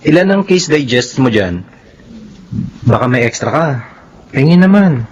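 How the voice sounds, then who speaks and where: A teasing young student, lively swoopy delivery, slightly muffled filipino radio drama sound. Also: male voice, a little high, measured pace, a little hiss or hum.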